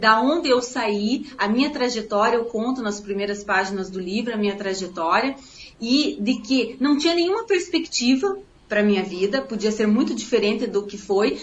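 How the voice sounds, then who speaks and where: Woman, author in an interview, earnest motivational talk, bit high voice, roomy echo. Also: muffled recording, slight background noise. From Paraná, Brazil. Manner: earnest, motivational, repeats phrases. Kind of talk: interview answers. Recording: echoey room.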